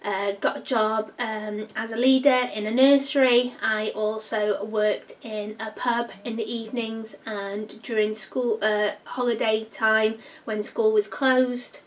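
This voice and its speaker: A woman's voice: fairly high pitch, level tone, slow, hardly any pauses, distant mic. A woman, chatting in a rambling vlog full of ums. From North Wales. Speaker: vlogger. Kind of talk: casual personal Q&A vlog.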